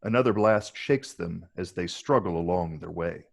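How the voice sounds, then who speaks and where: A man's voice: low voice, moderate pace, gravelly. A man narrating stage directions from a film script in a dramatic table read.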